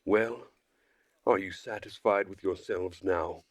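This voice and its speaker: A man's voice: sits low, fairly even intonation, slow pace, no room sound, clean studio sound. A man voicing a stern, exasperated woman who runs a camp, bossy and scolding, for a visual novel. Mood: simmering anger.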